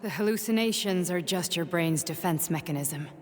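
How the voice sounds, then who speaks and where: An actress voices a female doctor from a video game, knowing and unsentimental. Her voice is on the low side for a woman, with a wry, challenging edge. It rings out with a long echo. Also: moderate pace, close to an everyday voice. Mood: grave, pointed. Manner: wry, pointed, matter-of-fact. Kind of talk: scripted video game acting, conversational. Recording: echoing reverberant space.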